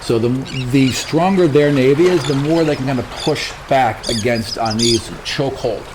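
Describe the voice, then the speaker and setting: A man's voice: mid-range voice, moderate pace, background noise. A man working as dungeon master, narrating a tabletop game with dramatic, explanatory storytelling.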